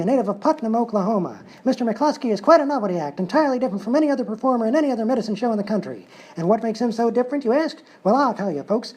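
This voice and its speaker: A woman playing a showy, fast-talking medicine show huckster in a live radio-style comedy sketch. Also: on the high side, darkish tone, low-quality recording. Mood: grand announcing. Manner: showy, smooth-talking salesman patter.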